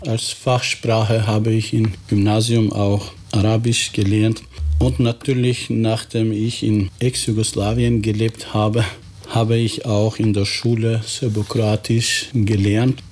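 A middle-aged man whose first language is Albanian, a teacher answering students' interview questions in careful German, pausing and correcting himself. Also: on the low side, little pitch movement, a little mellow, slight background noise. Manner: slow, careful non-native German with pauses and self-corrections.

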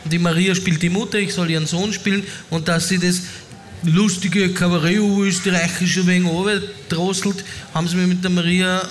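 Male guest, relaxed and friendly explainer, higher voice, faint background noise. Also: normal speed. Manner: friendly, explains things loosely. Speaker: guest, DJ and actor. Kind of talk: casual interview answers.

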